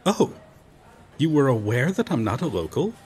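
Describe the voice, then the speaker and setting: A man playing a courteous, befuddled medieval knight in an audio roleplay, speaking earnestly to the listener in formal, theatrical, archaic phrasing with hesitant pauses. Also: mid-range voice, very animated, a little mellow, a little hiss or hum, lightly acted. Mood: courteous, proud. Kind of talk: ASMR roleplay audio drama, addressing the listener.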